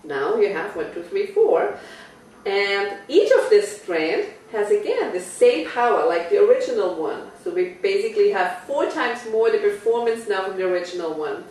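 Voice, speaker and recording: A woman's voice: medium pitch, big pitch swings, moderate pace, darkish tone, a little hiss or hum. A woman with an Austrian accent leads a casual product talk in a private living room. She explains step by step and often turns to her audience with questions. The sound is roomy and echoey, and she is caught from some distance away. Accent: Austrian German. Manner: chatty and explanatory, asks listeners questions. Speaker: product demonstrator. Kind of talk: home party product talk.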